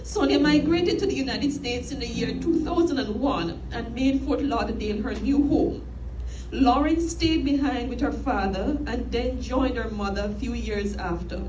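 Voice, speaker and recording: Eulogist: middle-aged Jamaican woman, formal and measured, recorded off-mic in a very reverberant church. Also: a little high, warm tone, a little hiss or hum. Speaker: eulogist, sister of the deceased. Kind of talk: scripted eulogy read aloud. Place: inside a church. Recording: echoey church, distant mic, music behind.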